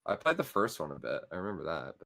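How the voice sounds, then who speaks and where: A man's voice: low-pitched, wildly swooping pitch, fast talker, vocal fry, a bit bright. A man in his twenties, co-hosting a casual gaming podcast and telling stories in loose slang, laughing often. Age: 20s. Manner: laid-back slangy storyteller, laughs often. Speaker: podcast co-host.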